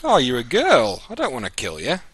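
A man's voice: mid-range voice, huge pitch swings, a little fast, fairly bright tone. A man doing casual, sarcastic, joking video game commentary. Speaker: gaming commentator. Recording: noisy, game sound behind.

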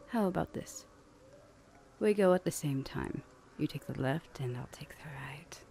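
A woman playing a dominant older vampire sister in an ASMR roleplay, breathy and languid with dry teasing. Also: deep voice, expressive, slow, long pauses, darkish tone, heavily acted. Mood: sultry, commanding.

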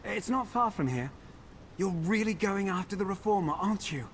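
Fussy, high-pitched pampered elf. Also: male voice, lively intonation, normal speed, a little hiss or hum. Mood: sardonic. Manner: prissy, theatrical complaining. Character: pampered, fussy, indignant male elf courier on the run.

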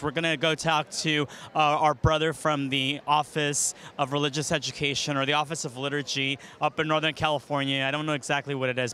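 A man's voice: on the high side, sharp tone. A man in his thirties, interviewing guests with a handheld mic in a large indoor arena, upbeat and chatty. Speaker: event interviewer. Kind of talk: live event interview.

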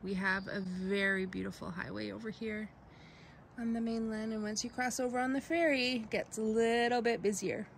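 A woman's voice: on the high side, steady tone, normal speed, vocal fry, slight background noise. Chatty woman vlogger from Canada. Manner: friendly, chatty, speaks directly to viewers. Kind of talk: casual vlog narration to viewers.

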